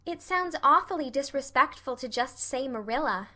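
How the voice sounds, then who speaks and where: A woman's voice: high voice, lively intonation, normal speed, pushed and bright, pitched above the performer's own voice. A woman voicing an earnest, dreamy young orphan girl in an audiobook, effusive and imploring. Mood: wistful. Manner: effusive, wordy, dramatic. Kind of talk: audiobook character voice.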